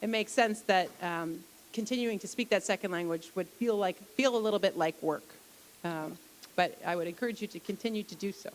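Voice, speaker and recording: A woman speaking at a lecture question-and-answer session in a library atrium. She explains things step by step, often repeating a word before going on. Her pitch sits in a middle range. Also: brisk, a little hiss or hum, poor audio. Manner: explanatory, with frequent restarts and fillers. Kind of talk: Q&A after a public lecture.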